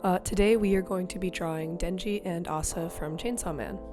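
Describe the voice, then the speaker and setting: Lowish woman, slightly raw throat. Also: brisk, dark tone. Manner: chatty and easygoing, with frequent small laughs. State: slightly raw throat after losing her voice. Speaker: digital artist narrating her speedpaint. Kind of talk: casual voice-over commentary. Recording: voice over clear background music.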